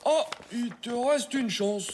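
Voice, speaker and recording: High-voiced know-it-all cartoon schoolboy. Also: male voice, slow, darkish tone, a little hiss or hum. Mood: matter-of-fact. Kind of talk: French animated comedy series acting.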